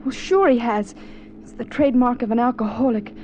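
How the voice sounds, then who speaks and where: An actress playing an anxious, sharp-tongued young sweetheart in a 1950s radio drama. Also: female voice, on the high side, brisk, close to an everyday voice. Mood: worried, scolding. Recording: noisy 1950s radio recording.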